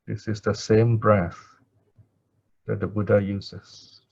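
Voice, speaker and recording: A man from Singapore who teaches Buddhist meditation, speaking or chanting in calm, measured, short phrases with pauses. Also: on the low side, gravelly, breathy edge. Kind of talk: Pali chanting and slow guided breath meditation. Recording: thin phone-like audio, very dry.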